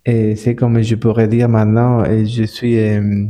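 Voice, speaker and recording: Halting man with a Spanish-speaking accent. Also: lowish voice, little pitch movement, mellow. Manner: halting, many repeated words and restarts. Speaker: spa manager, guest. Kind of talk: casual podcast interview.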